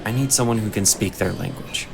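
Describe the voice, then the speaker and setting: An actor playing a shrewd, composed male inquisitor in a fantasy audio drama, speaking in a measured, persuasive way. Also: on the low side, gravelly, music in the background. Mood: earnest, persuasive.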